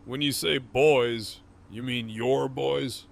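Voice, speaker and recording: Gravelly man, acted, sardonic wounded captive, slow wry drawl, audio drama, middling pitch. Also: fairly even intonation. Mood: curious, wry. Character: gruff, sardonic male captive, wounded and wearily softening.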